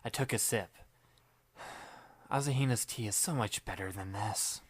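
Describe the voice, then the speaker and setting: A man playing a sarcastic, weary teenage boy who narrates in a deadpan voice. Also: lowish voice, a little slow, slightly rough, no room sound. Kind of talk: first-person audiobook narration.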